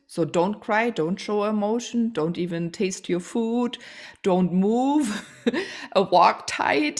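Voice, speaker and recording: A woman's voice: fairly low pitch, creaky. A woman with a German accent hosting a spiritual podcast interview, often searching for words and restarting her sentences. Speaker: podcast host. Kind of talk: conversational interview.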